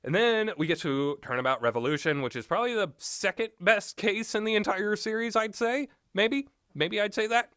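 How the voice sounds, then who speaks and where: A man with a slightly high voice talks through his opinions on games in a loose, rambling way. He repeats himself for emphasis, and the sound is close and dry. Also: normal speed, few pauses, fairly bright tone, clean tone, dry recording. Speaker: commentator reviewing visual novel games. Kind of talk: rambling, informal opinionated game commentary.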